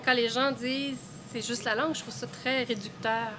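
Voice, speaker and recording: A woman's voice: fairly high pitch, steady tone, normal speed, a crowd in the background. Articulate woman. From Montreal, Quebec. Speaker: interviewee. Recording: music bed under voice.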